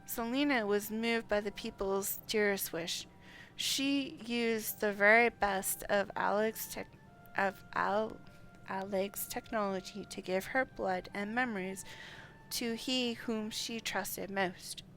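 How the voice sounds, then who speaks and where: A woman's voice: mid-range voice, fairly even intonation, slow, fairly bright tone, soft background music. A woman livestreaming a game, chatting and reading dialogue aloud, sometimes stumbling over names. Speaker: game streamer.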